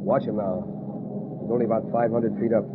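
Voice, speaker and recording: An actor playing a calm, authoritative army colonel who explains things in a measured way, in a 1940s radio drama. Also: male voice, on the low side, close to an everyday voice. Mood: assured. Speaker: air force colonel. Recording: noisy lo-fi old radio broadcast.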